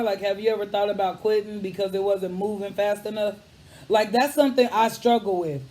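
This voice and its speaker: A man hosting a casual interview, asking questions in a relaxed, candid, conversational way. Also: high voice, rough recording. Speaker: host.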